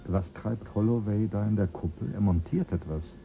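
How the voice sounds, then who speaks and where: An actor playing a skeptical visitor who asks probing questions in a German radio drama. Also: male voice, fairly low pitch, moderate pace, creaky, dull audio, a little hiss or hum.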